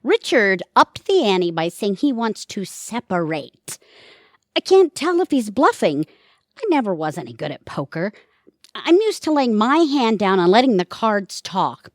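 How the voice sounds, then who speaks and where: A prickly, self-absorbed retired mother in an audio play. She is middle-aged and sardonic, with lively swings of pitch, recorded close and dry. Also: dry recording. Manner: sardonic, cutting. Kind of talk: audio theatre comedy-drama acting.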